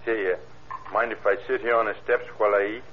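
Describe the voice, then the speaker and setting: Male actor as humble radio-drama drifter, very low voice, fast clipped talk, folksy, deferential. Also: steady tone, natural voice. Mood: polite, wary. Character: polite, nervous male drifter begging for food. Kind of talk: old-time radio crime drama acting. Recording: muffled, noisy old radio recording.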